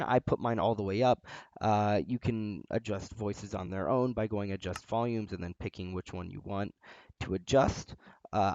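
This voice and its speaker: A man with a loud voice talking through a game setup tutorial in a relaxed, rambling way, full of "uh" fillers. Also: on the low side, dry recording. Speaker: tutorial host. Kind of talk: casual screen-recorded game tutorial. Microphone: desk microphone near a loud keyboard. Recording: dull, slightly lo-fi home recording.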